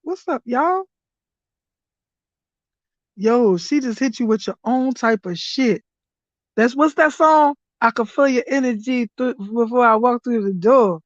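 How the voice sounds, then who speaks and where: A woman with a hoarse, fairly high voice hosts a live stream, hyping things up with laughter and swearing. The sound is dull and lo-fi, and the room is dry. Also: lively intonation, measured pace, long pauses, dry recording. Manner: loud, laughing, profane hype commentary. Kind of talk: casual live-stream chat.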